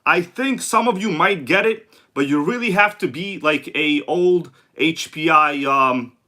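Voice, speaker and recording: A man with a bright, slightly high voice hosts a casual livestream. He has a sore throat and sounds congested. He talks in a loose, slangy way and keeps greeting viewers by name. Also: unhurried. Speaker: livestream host. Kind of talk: casual live-stream chat.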